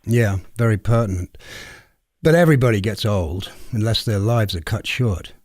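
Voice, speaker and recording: A man's voice: lowish voice, normal speed, a little mellow, no room sound, clean studio sound. A man co-hosting a conversational interview discussion, offering his own reflections. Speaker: co-host interviewer.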